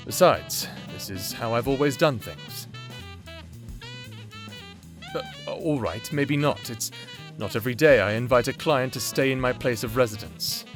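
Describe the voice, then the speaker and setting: A man playing an earnest, reserved private investigator in an anime roleplay audio drama, speaking in measured, formal phrases. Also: medium pitch, natural voice. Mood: flustered. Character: earnest male private investigator, reserved, secretly fond of client.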